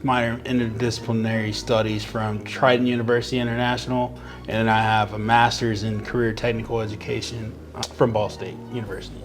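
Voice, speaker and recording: A man's voice: on the low side, level tone, fairly quick. A man from Houston, Texas, working as a substitute teacher, giving earnest, reflective interview answers.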